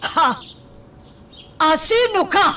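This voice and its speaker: A woman's voice: very high-pitched, takes it slowly, recorded from a distance, background noise. An Ilocano-accented woman playing a jealous, bossy madam of the household in a radio drama, scolding and questioning sharply. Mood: suspicious, indignant.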